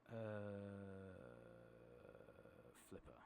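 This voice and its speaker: A man doing soft-spoken ASMR commentary over a game, casually rambling and thinking aloud with the odd swear. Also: on the low side, flat delivery, extremely slow, gravelly, noisy background. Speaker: gaming streamer.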